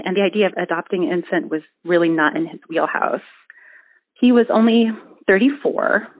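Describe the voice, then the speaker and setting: A woman reading a personal essay about adopting her son, in an earnest and measured way. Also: fairly low pitch, no echo. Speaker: mother telling an adoption story. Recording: tinny phone-like audio.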